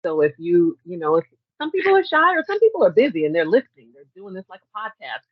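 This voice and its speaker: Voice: medium pitch, huge pitch swings, fast, dry recording. A webinar co-host explaining logistics in an upbeat, chatty way over a video call. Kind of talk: hosting a webinar. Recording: dull, dry video-call audio.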